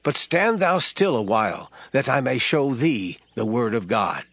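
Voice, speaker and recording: An actor playing an authoritative, assured prophet in dramatized scripture, solemn, declamatory and deliberate. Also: male voice, medium pitch, very animated, fairly bright tone, exaggerated voice. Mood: assured, instructing. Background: background music.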